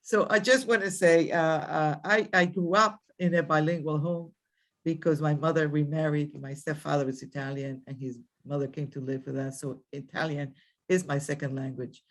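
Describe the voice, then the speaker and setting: A woman's voice: low voice, fairly even intonation, normal speed. An older woman from the Dominican Republic, a college president giving a rambling ceremonial speech over a video call, with many fillers and restarts.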